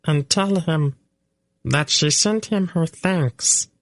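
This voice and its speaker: A man's voice: on the high side, slow pace, no room sound, pitched above the performer's own voice. A man voicing a small furry male fenling creature with a piping, chittering voice and formal, earnest speech. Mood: solemn. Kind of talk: audiobook character voice.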